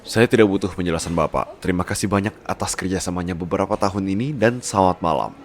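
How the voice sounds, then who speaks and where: A man playing a bossy, rich young boyfriend who is gruff yet doting and protective, in an ASMR roleplay. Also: deep voice, fast, a little hiss or hum. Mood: stern, sarcastic. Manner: scolding, insistent, code-switching with English words.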